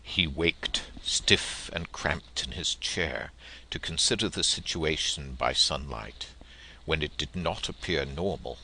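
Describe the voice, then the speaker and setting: Male storyteller, extremely low register with gravelly fry, theatrical and deliberate literary reading, some noise on the recording. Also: pushed and bright, low-quality recording. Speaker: audiobook narrator. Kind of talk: expressive literary narration and verse reading.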